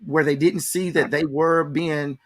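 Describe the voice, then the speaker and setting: A man's voice: fairly high pitch, fairly even intonation, unhurried. A man hosting a conversational interview, informally addressing his audience like an announcer. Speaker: interview host and promoter. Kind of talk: conversational radio-style interview.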